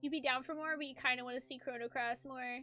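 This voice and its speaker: A woman's voice: sits high, steady tone, fast, pushed and bright, no echo. A woman chatting casually on a game livestream, rambling and hesitant in an informal way. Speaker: streamer doing a game playthrough.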